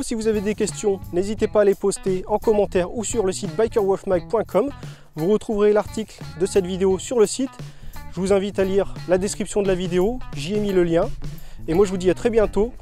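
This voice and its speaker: A man's voice: high voice, dark tone. A man giving an informal, conversational product review as a motorcycle gear reviewer. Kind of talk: casual product review narration and riding chat.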